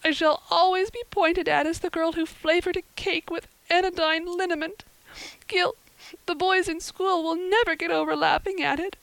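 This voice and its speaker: A talkative young orphan girl from a storybook. The voice sits very high and pleads with earnest, breathless melodrama. The recording is close and dry. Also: lively intonation, a little fast, dry recording, rough recording. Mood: sobbing despair.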